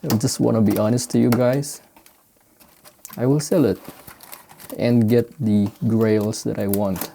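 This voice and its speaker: A man from the Philippines with a Filipino accent, speaking non-native English in casual, halting unboxing commentary as he searches for words. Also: lowish voice, fairly even intonation, vocal fry, mellow, a little hiss or hum. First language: Filipino language. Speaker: sneaker unboxing vlogger. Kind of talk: casual unscripted product commentary.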